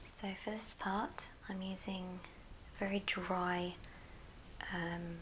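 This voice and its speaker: A woman whispers a craft tutorial in ASMR style. Her voice is soft, breathy and on the low side, moving slowly and gently from step to step. Clear background noise sits under the whisper. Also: fairly even intonation. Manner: unhurried, gentle step-by-step instruction. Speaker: ASMR host demonstrating a craft. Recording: clear background hiss and clicks.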